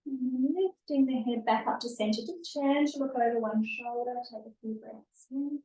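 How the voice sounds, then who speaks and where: A woman yoga instructor leading a guided stretching and breathing class in a gentle, soothing, unhurried manner, recorded live in a studio. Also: high voice, lo-fi sound. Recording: echoey room, distant mic.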